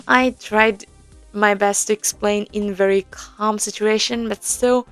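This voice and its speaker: A young woman talks slowly and unhurriedly, explaining a game in a casual walkthrough. She hesitates often, filling gaps with ums and small nervous laughs. Faint music sits behind her. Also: mid-range voice, steady tone, dry recording. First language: Korean. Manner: hesitant, many fillers, soft nervous laughs. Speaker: audio game tutorial presenter.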